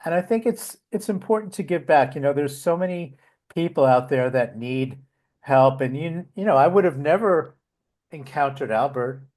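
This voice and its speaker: A man's voice: fairly high pitch, lively intonation, moderate pace, darkish tone. A man, a guest volunteer, talking casually in an interview, with a rambling delivery full of fillers and restarts.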